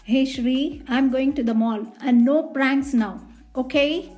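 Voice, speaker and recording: A woman playing a scolding mother in an animated moral story. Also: high-pitched, takes it slowly, soft background music. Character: scolding mother of a prankster girl.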